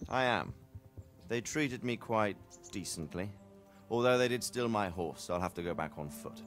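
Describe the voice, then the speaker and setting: An actor playing a middle-aged medieval knight talking to his son in a video game, measured and reflective. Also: on the low side, lively intonation, close to an everyday voice. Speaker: knight lord, father of the hero. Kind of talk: video game cutscene dialogue. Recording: soft game music behind.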